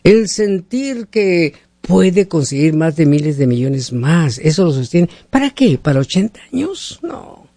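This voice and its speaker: A man's voice: on the high side, big pitch swings, measured pace, few pauses, dark tone, dry recording. A man hosting a radio call-in show, chatting casually in a folksy, talkative way and often asking rhetorical questions. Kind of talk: casual opinionated on-air chat with callers.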